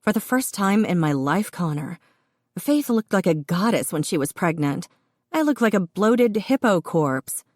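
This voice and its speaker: A woman voicing a heavily pregnant woman who teases her brother in quick, exclamatory bursts. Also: on the low side, expressive, no echo, studio quality, pitched above the performer's own voice. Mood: chatty. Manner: teasing, exclamatory. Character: pregnant adult woman, teasing, blunt older sister.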